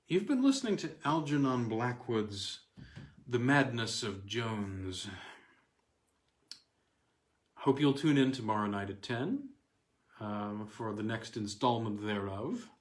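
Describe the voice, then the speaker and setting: A man's voice: medium pitch, sing-song, slow pace, long pauses. Man narrating fiction in an echoey room. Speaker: narrator and host of a story reading series. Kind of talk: scripted narration of literary weird fiction. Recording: roomy, echoey sound.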